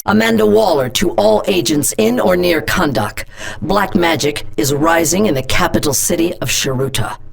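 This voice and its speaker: A gravelly, commanding female agency chief. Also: on the low side, animated, normal speed. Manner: commanding, blunt, intimidating. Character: stern female government agency director. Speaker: game character. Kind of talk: game voice lines.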